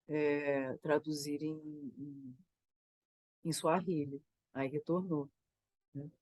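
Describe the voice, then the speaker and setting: A woman with a Brazilian Portuguese accent, a theater creator getting over a cold, talking about her work in a reflective, halting way and often tagging her sentences with "né?". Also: on the low side, dry recording. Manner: thoughtful, halting, frequent "né?" tags. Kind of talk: reflective conversation.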